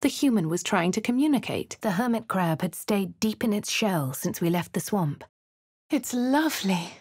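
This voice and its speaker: An actress with a posh English accent voicing a plucky female adventurer hero for a video game, crisp and well-spoken, close to a studio mic. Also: mid-range voice, lively intonation, moderate pace, darkish tone, dry recording. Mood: wry. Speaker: player character.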